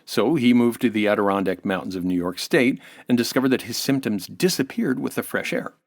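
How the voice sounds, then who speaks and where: A man's voice: medium pitch, animated, a little fast, vocal fry, no room sound, clean studio sound. An American man as an interview guest, giving an affable, informative explanation in a semi-scripted style.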